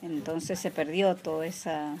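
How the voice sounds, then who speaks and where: A woman's voice: low voice, fairly even intonation, unhurried. A woman in her forties with a rural Mendoza Argentine accent, answering informal interview questions in a plain, somewhat hesitant way. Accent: rural Argentine Spanish from Mendoza. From rural Mendoza province, Argentina. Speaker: interviewee, artisan weaver. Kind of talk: informal oral history interview. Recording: clearly noisy background with clicks.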